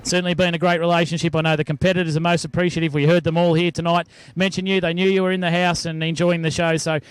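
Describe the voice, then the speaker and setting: An Australian man hosts a trackside interview and sign-off. He talks fast in a high, very clear voice. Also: little pitch movement, dry recording. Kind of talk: post-event interview and sign-off.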